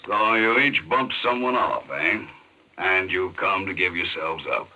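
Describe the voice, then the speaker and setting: An actor playing a gruff, skeptical, blunt American police chief in a 1940s radio crime drama. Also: male voice, low-pitched, unhurried, bright, forceful tone, dull audio, exaggerated voice. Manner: blunt, clipped, tough. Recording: old 1940s radio recording.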